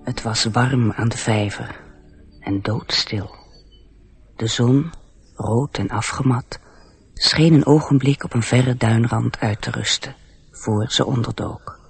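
Gravelly, confiding storyteller. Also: male voice, medium pitch, slow pace, pauses often, heavily acted. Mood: hushed, descriptive. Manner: confiding, addresses the listener directly. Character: intimate, gently earnest male storyteller. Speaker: narrator. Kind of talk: storytelling narration in radio play.

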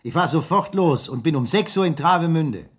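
An actor playing a brisk, self-assured real estate businessman in a 1970s German radio crime play, with a curt, businesslike manner. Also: a little high, lively intonation, breathy edge, soft background music. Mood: curt, concerned.

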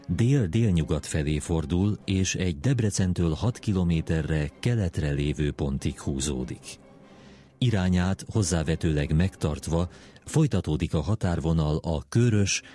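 A man with a very low, dark voice reads formal treaty text aloud. His delivery is measured and official, with faint music behind him. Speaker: document reader in a history programme.

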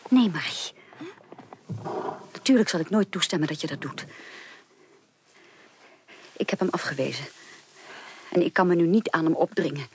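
A woman playing a proud, remorseful young upper-class woman in a Dutch radio drama, speaking emphatically and haltingly. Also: lowish voice, animated, natural voice. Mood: agitated pride. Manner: emphatic, halting with repeated words. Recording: clearly noisy 1980s radio recording.

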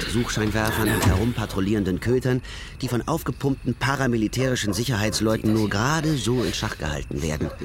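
An actor playing a flippant, streetwise courier in debt, narrating a radio crime drama in colloquial, ironic asides. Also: male voice, medium pitch, lightly acted. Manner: laconic, ironic, offhand asides. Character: flippant small-time drug courier in debt, male, streetwise. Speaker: narrator and lead character. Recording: loud music behind the voice.

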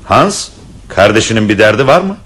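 An actor playing a stern widowed father in a radio play, measured and mildly scolding. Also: lowish voice, sing-song, fairly bright tone. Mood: fatherly. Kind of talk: 1960s radio play acting.

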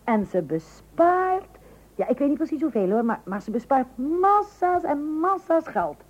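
A woman's voice: sits high, big pitch swings, normal speed. An actress playing a chatty, coaxing housewife and mother in an old radio comedy. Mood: coaxing, eager. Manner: eager, wheedling, hesitant interjections. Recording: dull, muffled old radio recording.

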